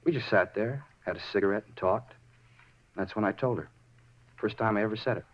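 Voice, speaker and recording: A muffled 1950s radio recording of a man acting a rambling murder suspect. His lowish voice moves quickly as he insistently justifies himself, circling small details and repeating his points like someone unhinged. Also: close to an everyday voice. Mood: earnest.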